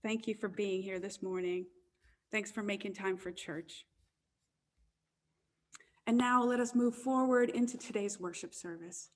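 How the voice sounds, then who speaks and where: A woman minister leading an online church service, with a warm and gentle delivery. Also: mid-range voice, fairly even intonation, unhurried, pauses often. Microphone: video call.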